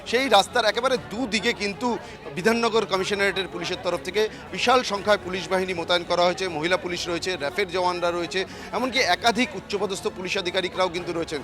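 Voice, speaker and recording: A male field reporter speaks quickly in a high voice. He has a West Bengal accent and gives a live outdoor news report. His explanation runs on in long, repetitive sentences. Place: outdoors in a city, outside a courthouse.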